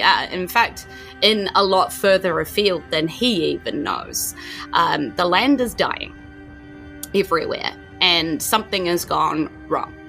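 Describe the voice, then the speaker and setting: A woman's voice: on the low side, sharp tone. A woman with a New Zealand accent running a tabletop game, narrating the story so far in a chatty way, with fillers and self-corrections. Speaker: game master.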